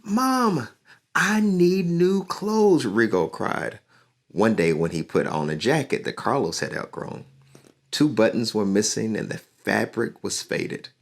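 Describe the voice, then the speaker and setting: Deep-voiced man reading a children's book. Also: a little slow, vocal fry. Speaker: community leader and musician reading to children. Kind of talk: children's read-aloud.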